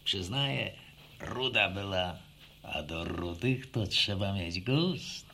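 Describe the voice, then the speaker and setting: An actor playing a boastful, wry ex-soldier villager with a taste for drink, telling tales in a mock-pompous drawl in a radio drama. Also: male voice, low-pitched, animated, slow, gravelly, pushed and bright, slight background noise, exaggerated voice. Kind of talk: Polish radio drama acting.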